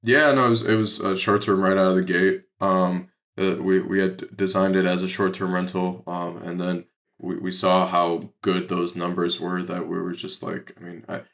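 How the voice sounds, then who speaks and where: An American man talks casually as a podcast guest. His voice is low and creaky with fry, and stays fairly flat in intonation. He explains things in a rambling, conversational way. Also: normal speed. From Detroit, Michigan, United States. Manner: rambling, heavy use of "like". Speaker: podcast guest, real estate investor.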